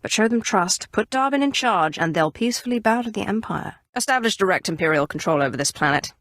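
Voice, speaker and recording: An actress playing a ruthless, imperious Sith Inquisitor in a video game, with a haughty, menacing, deliberate delivery. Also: female voice, mid-range voice, lively intonation, a bit bright, no room sound, clean studio sound. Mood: imperious, calculating. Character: ruthless, imperious female Sith Inquisitor, dark sorceress of the Empire. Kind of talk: video game character voice acting.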